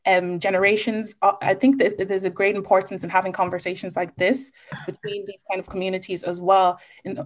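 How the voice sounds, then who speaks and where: A woman speaking as a panellist in a discussion, earnest and somewhat tentative in her phrasing. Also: mid-range voice.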